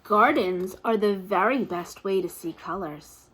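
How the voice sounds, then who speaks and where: A woman's voice: medium pitch, unhurried, fairly bright tone, slight background noise, low-quality recording. A woman teacher reading a picture book aloud to toddlers, asking encouraging questions in a sing-song, exaggerated way.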